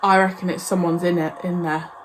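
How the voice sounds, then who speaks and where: A woman hosting a reaction channel, talking casually in a croaky voice. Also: fairly low pitch, little pitch movement, brisk, music in the background. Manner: chatty and rambling.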